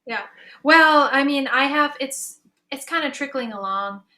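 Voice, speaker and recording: Bright, high-voiced woman chatting on a panel. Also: a little slow, a little hiss or hum. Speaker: panel moderator, audio drama creator and musician. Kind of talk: conversational panel discussion.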